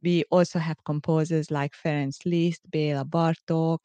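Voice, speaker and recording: A middle-aged woman with a Hungarian accent, hosting a solo podcast in a measured, earnest, explanatory way. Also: low-pitched, level tone, dark tone, no echo. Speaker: podcast host. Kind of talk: solo podcast monologue, semi-scripted.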